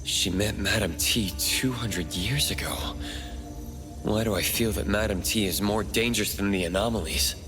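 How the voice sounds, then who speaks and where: A man's voice: lowish voice, moderate pace, lightly acted. A man playing an inquisitive, thoughtful investigator hero in a video game, questioning and pondering as he talks. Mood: puzzled, pondering. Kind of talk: scripted game dialogue. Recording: reverberant hall with music behind.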